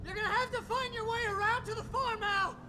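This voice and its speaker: A male actor playing an excitable, loyal, wisecracking New Yorker sidekick in a video game, with animated, exasperated bluster. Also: very high voice, brisk, background noise. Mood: loud bravado. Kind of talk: comic-relief video game dialogue.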